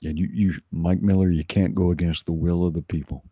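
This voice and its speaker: A man's voice: sits low, fairly even intonation, brisk, warm tone, slightly rough, dry recording, clean studio sound. A man in casual livestream conversation as a co-host, talking informally and repeating his phrases.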